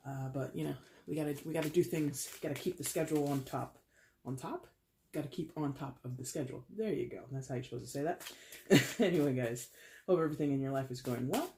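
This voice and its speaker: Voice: mid-range voice, quick pace, darkish tone, a little hiss or hum. A tarot reader with a head cold, congested and with a dry throat, chatting casually to camera with rambling self-corrections. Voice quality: dry throat, clears it often.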